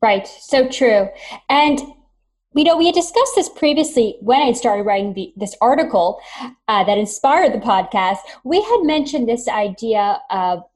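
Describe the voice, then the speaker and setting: A woman hosting a podcast, asking a guest about her business and agreeing warmly. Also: a little high, lively intonation, unhurried. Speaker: podcast host. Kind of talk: interview questions.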